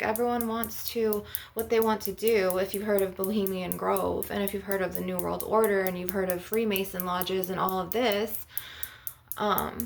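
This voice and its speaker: A woman leads an informal ASMR spiritual session as an energy healer. Her mid-pitched voice has a lot of vocal fry, and she talks bluntly and emphatically in a rambling way. There is faint noise behind her.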